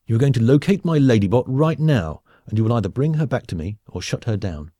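A man's voice: mid-range voice, lively intonation, fairly quick, mellow, no room sound, voice pushed up, lightly acted. Guy doing a spoiled rich heir for an audiobook, bossy and full of himself, dry sound. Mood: angry, commanding. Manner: bossy, demanding. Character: entitled, swaggering male rich heir, angry and demanding. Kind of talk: audiobook character acting.

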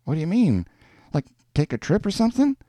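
A tough-talking young woman, as a male narrator puts her on in a short story reading. The voice sits high and jumps around, with slangy, defensive, sharp-tongued phrasing. The sound is close and dry. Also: huge pitch swings, a little fast, no echo. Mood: wary, disbelieving.

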